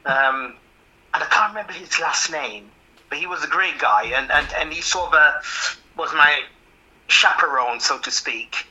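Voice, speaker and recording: Bright-voiced older man from Jamaica. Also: a little high, moderate pace, slightly rough, low-quality recording. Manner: hesitant, repeats words, chuckles softly. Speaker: interviewee. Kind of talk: reminiscing interview.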